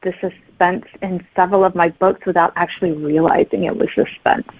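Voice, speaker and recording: A woman's voice: on the low side, fairly even intonation, brisk. A woman answering casually as a guest author in an interview. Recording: thin, phone-like connection.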